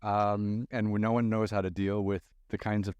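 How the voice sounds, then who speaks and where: A man hosts a podcast interview in a relaxed, offhand way, swearing now and then. His voice sits on the low side. The close studio mic gives a dry, intimate sound. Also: moderate pace, clear, resonant tone, no echo, highs cut off. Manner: casual, loose, swears freely. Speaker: podcast host. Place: recording studio.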